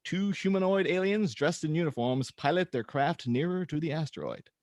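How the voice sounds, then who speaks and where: A man hosting and narrating a script read-through in a casual, somewhat halting manner. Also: a little high, darkish tone, no echo, studio quality. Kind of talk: casual introduction, then reading screenplay directions aloud.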